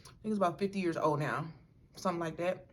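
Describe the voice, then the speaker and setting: A woman's voice: lowish voice, fast. Young woman hosting a casual chat. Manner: laughing, expressive, talks to viewers as y'all. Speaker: mukbang host.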